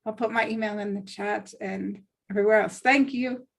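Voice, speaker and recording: A woman's voice: medium pitch, fairly even intonation, normal speed, vocal fry. Earnest woman, assertive talker. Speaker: actress and aspiring voice actor introducing herself. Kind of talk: casual self-promotion in an online group.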